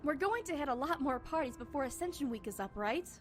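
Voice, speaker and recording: A woman's voice: high-pitched, lively intonation, quick pace, over music. A woman playing a bubbly young party-goer in a comic dub. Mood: excited.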